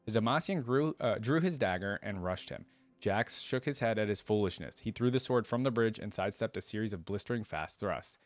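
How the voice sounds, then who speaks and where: A man's voice: lowish voice, lively intonation, fairly quick. A man reading a fantasy story aloud and adding enthusiastic, informal fan commentary as a reaction video host.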